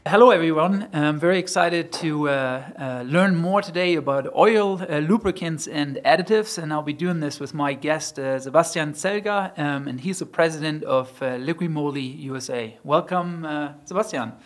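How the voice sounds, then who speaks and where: Male podcast host, slightly raised pitch, chatty, halting with fillers. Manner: hesitant, many fillers and back-channel affirmations. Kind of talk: casual interview hosting.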